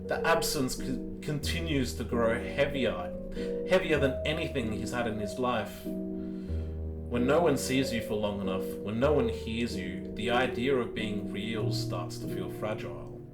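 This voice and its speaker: Solemn man narrating a tabletop game over guitar. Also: medium pitch. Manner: slow, solemn storytelling with deliberate pauses. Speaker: game master. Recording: loud guitar music behind the voice.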